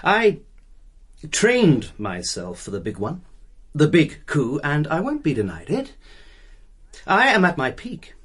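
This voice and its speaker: An actor playing an ambitious, stubborn British army officer and helicopter pilot in a radio drama, with an intense, persuasive manner. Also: male voice, on the high side, huge pitch swings, slow pace, pauses often, fairly bright tone, slightly rough, exaggerated voice. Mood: bitter, determined.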